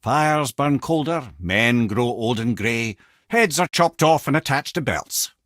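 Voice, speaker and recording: A man's voice: mid-range voice, lively intonation, measured pace, fairly bright tone, dry recording, studio quality. An actor voicing a wise, wry older Scottish man, a talking severed head in a video game, with a theatrical, folksy manner. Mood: wry, musing. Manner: wry, theatrical, folksy, addresses listeners as brother and lad. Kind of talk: expressive video game character acting, storytelling and banter.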